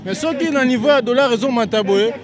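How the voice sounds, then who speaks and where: A man's voice: very high voice, fast talker. A middle-aged man with a Congolese accent giving a street interview into a handheld mic at an outdoor market. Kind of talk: vox pop opinion. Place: outdoor market beside traffic.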